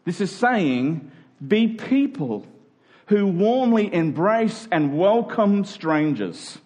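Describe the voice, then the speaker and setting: A man's voice: high-pitched, big pitch swings, slow, a little mellow. A man preaching a conversational sermon to a congregation, in colloquial language with emphatic repeated phrases. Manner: colloquial, dramatizing imagined exchanges.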